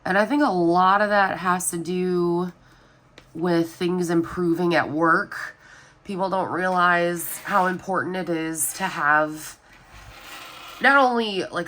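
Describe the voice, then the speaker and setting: A young woman with a Southern US accent chats casually while stuffed up with a cold, her voice nasal and sniffly. She speaks slowly, rambling with little energy, in a somewhat low, bright tone. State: sick with a stuffy nose, sniffling. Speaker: planner vlogger. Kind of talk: casual chat.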